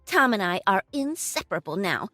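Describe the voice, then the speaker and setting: A woman's voice: sits high, big pitch swings, moderate pace, pushed and bright, dry recording, clean studio sound, faint music behind, pitched above the performer's own voice, full character voice. A woman voicing a haughty, cruel young woman who shrieks insults. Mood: scornful.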